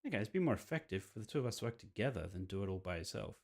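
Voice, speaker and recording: A man's voice: fairly low pitch, lively intonation, rapid-fire, creaky, a little mellow, breathy edge, full character voice. A man acts out a cocky, threatening rival from a horror visual novel. The delivery is boastful and taunting, with intonation that swings widely. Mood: scheming, persuasive. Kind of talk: visual novel dialogue read-aloud.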